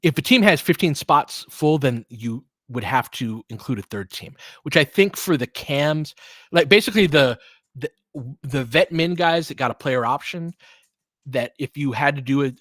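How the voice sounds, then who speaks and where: A man's voice: on the high side, expressive, normal speed, a bit bright, no echo. A man hosting a casual live basketball stream, mixing play-by-play with conversational, slangy analysis as he thinks aloud. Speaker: host and play-by-play commentator.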